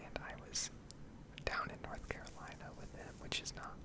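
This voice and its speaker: A woman speaking in a soft, breathy whisper close to the microphone, rambling and explaining in a hesitant ASMR style. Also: normal speed. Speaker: ASMR video creator.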